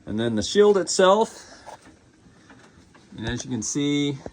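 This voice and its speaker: Man, casual collector review, slow delivery, creaky gravelly voice, conversational, unscripted. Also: on the high side, big pitch swings.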